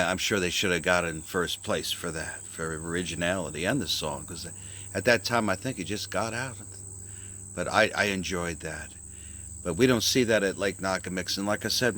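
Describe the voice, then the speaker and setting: A man rambling through a deadpan comic story in a halting, meandering way. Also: low-pitched, a little hiss or hum. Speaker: vlogger.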